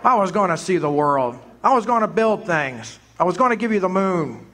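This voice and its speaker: A man's voice: high-pitched, very animated, normal speed, fairly bright tone, soft background music. A man playing an earnest, frustrated young small-town husband in an amateur stage radio drama, speaking emphatically and stammering when upset. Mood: dejected.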